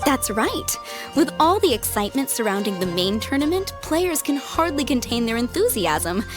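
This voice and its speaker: A woman's voice: fairly high pitch, big pitch swings, moderate pace. A woman voicing a friendly, helpful townswoman in a video game, polite, upbeat and explanatory. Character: friendly, helpful townswoman giving event information. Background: music.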